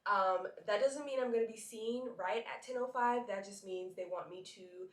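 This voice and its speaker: A woman's voice: mid-range voice, normal speed. A young woman in her twenties from North Carolina, chatting casually to her camera in an upbeat, filler-heavy way while congested and a little nasal from a cold. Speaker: model and vlogger. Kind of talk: casual vlog chat to camera. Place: her apartment and city streets. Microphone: handheld compact camera.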